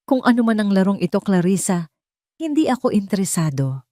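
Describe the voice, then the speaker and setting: A stern male doctor from a romance audio drama, voiced by a woman. He is cold, firm and holding back anger, in a mellow tone with a very dry sound. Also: medium pitch, big pitch swings, a little fast, dry recording, pitched above the performer's own voice, natural voice. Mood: suspicious, dismissive.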